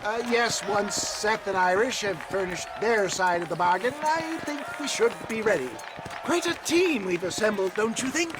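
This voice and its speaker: A man's voice: high-pitched, lively intonation, normal speed, crowd noise, background noise. An actor voicing a pompous, theatrical swindler in a western video game, speaking in a florid showman's manner. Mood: flustered. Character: pompous, theatrical, grandiose swindler, flustered when pressed.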